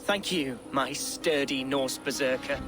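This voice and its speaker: An actor playing an earnest young alderman in a game cutscene, speaking formally and warmly to an ally. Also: medium pitch, measured pace, natural voice. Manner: formal, heartfelt, self-deprecating. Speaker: newly named alderman of a shire. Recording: game cutscene with loud music.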